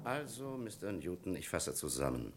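A man's voice: sits low, big pitch swings, moderate pace, loud music behind the voice. A man playing a dry, insistent police captain in a German crime radio play, questioning people formally and pointedly. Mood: formal, probing.

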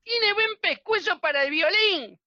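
A woman's voice: very high voice, lively intonation, measured pace, sharp tone, no echo, dull audio, exaggerated voice. A woman playing a jeering, bloodthirsty member of a mob in a radio play. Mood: cruel jeering.

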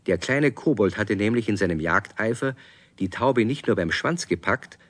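A man's voice: low-pitched, a bit bright, dry recording. A man narrating a children's radio play, speaking expressively and directly to young listeners as a friendly storyteller.

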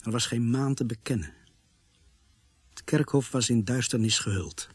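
A man's voice: medium pitch, normal speed, a little hiss or hum, lightly acted. An actor playing an uneasy policeman who narrates his case in a scripted radio drama. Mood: sombre, uneasy.

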